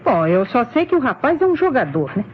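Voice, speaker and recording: A man playing an opinionated but deferential police assistant with a husky voice, in an old Brazilian radio mystery. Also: very high voice, sing-song, a little fast, heavily acted. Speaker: radio drama actor. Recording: dull vintage radio recording.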